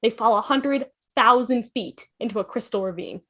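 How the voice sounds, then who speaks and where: A woman's voice: fairly high pitch, normal speed, sharp tone. A woman narrating the stage directions of a script in a fan table read.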